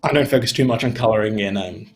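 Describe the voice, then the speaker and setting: A man's voice: fairly low pitch, fast. A young man from Australia giving a casual, chatty tutorial from a home studio on a desk mic, his voice tiring, with lots of "you know" fillers. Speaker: animation instructor. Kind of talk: casual live-stream tutorial.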